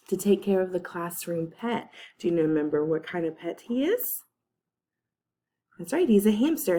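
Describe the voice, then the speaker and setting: Low, mellow-voiced teacher reading aloud. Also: female voice. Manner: friendly, chatty asides to young listeners. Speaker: first grade teacher. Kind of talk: reading a chapter book aloud to children.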